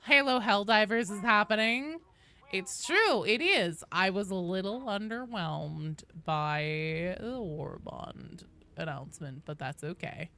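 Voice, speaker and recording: A woman's voice: medium pitch, slow pace, bright, forceful tone. A woman livestreaming a game, chatting casually with viewers as she reads out their comments, swearing freely and reacting playfully. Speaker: game livestreamer reading and answering viewer chat. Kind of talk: casual live stream chat.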